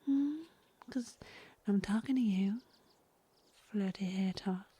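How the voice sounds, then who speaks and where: A woman playing a flirty frontier wife and mother in a comic western audio drama, given to breathy asides and sighs. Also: medium pitch, slow pace, warm tone. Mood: sultry, flirty. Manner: breathy asides, sighing, gushing.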